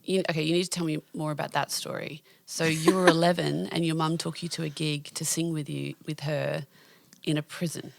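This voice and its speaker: A woman's voice: deep voice, level tone, a little fast, creaky, no echo. A woman hosting a podcast interview in casual chat, gushing and laughing often, with many fillers. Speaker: podcast host.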